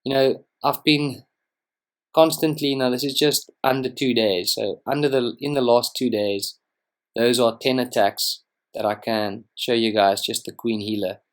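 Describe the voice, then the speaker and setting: A young man whose first language is Afrikaans gives relaxed, rambling commentary over strategy game footage, with frequent ums and restarts. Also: medium pitch, a little slow. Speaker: gaming channel host. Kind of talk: casual gameplay commentary.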